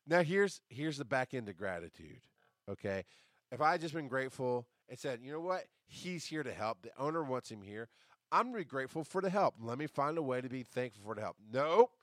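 A man hosting a podcast, telling a story in casual, profane, animated fashion and acting out what people said, with a deep, bass-heavy voice. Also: a little fast, no room sound, studio quality. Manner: animated, profane, acts out quoted lines. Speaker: podcast host.

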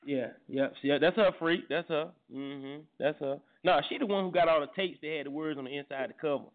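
A man hosting a morning radio show, joking and teasing his co-hosts loudly. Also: on the high side, brisk. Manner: loud, teasing, trash-talking. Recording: tinny phone-like sound.

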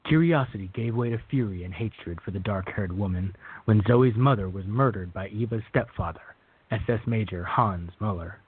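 A man's voice: on the low side, normal speed, husky. A man reading scripted third-person narration for a radio drama. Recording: thin, phone-like band-limited audio.

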